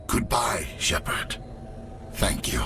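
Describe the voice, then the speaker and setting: A deep, gravelly cybernetic villain. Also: male voice, very animated, slow, pushed and bright, faint music behind, exaggerated voice. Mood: anguished, resigned. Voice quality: gravelly, menacing. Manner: grandiose, dramatic delivery. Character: indoctrinated cybernetic villain, proud yet tormented, grave. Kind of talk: video game cutscene acting.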